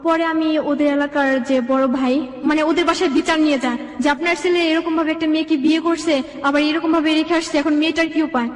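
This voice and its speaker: A young woman with a Bangladeshi accent speaks in a high voice at a quick clip. She recounts her life in long, rambling sentences as a guest being interviewed. The room rings with heavy reverb around her. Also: fairly even intonation. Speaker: guest telling own life story. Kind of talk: personal storytelling in an interview.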